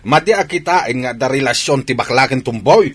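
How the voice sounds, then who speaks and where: A man playing a blunt, exasperated village father with a raspy voice and an Ilocano accent in a comedy radio drama. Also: mid-range voice, lively intonation, normal speed.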